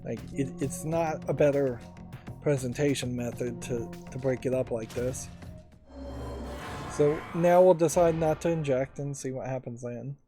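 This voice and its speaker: A man giving casual let's play commentary, thinking aloud analytically with pauses. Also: medium pitch. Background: faint game music.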